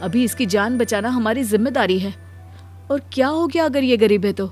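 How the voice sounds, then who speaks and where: A woman's voice: on the high side, normal speed, a little mellow, soft background music, lightly acted. A woman voicing a weary, compassionate male doctor in a cartoon moral story, speaking earnestly. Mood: firm, urgent.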